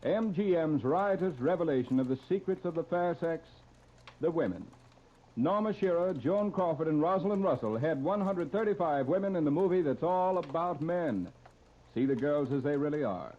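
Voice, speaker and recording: A man's voice: on the high side, lively intonation, normal speed, dark tone. An American man announcing and reading ads on 1930s radio with a polished, punchy showman's delivery. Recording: dull lo-fi 1930s radio broadcast recording.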